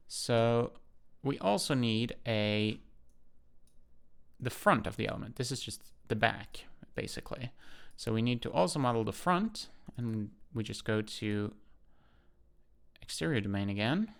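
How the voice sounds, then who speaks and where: Creaky-voiced man giving a software tutorial. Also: medium pitch, expressive, unhurried. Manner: matter-of-fact, step-by-step explaining. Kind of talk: unscripted screen-share walkthrough.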